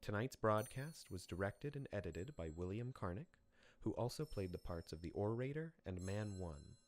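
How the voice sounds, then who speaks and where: A man with a fairly low voice serves as the announcer for a radio play. He reads out scripted closing credits and acknowledgements. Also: expressive, moderate pace.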